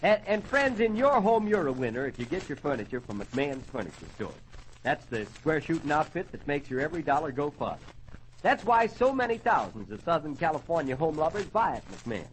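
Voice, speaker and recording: A man's voice: a little high, very animated, a little fast. A man hosting a 1940s radio variety show, announcing and reading ads with folksy, joking showman patter on an old, noisy recording.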